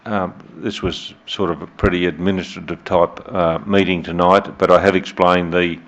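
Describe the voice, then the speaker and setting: A man with an Australian accent chairing a council meeting as mayor, measured and hesitant with many fillers, recorded on dull lo-fi room audio. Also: low voice, flat delivery. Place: council chamber.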